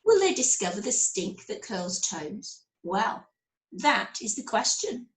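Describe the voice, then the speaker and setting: A woman reading a picture book aloud to children as a school storyteller, with expressive, deliberate phrasing. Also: mid-range voice. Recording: roomy, echoey sound.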